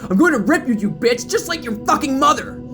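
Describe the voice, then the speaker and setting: A man's voice: extremely high pitch, sing-song, fairly quick, over music, voice pushed up, full character voice. A man voicing a deranged, furious young male killer in an audio drama, cocky, menacing and given to profane ranting. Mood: unhinged rage.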